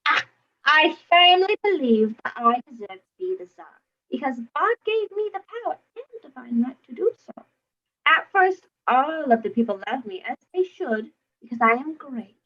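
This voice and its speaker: Pompous monarch for comic puppet skit female performer: whiny, very animated delivery, muffled cheap recording. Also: high-pitched, normal speed. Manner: pompous, huffy, throat-clearing. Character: pompous, whiny, self-adoring Tsar, a grown man. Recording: dull, choppy lo-fi video call audio.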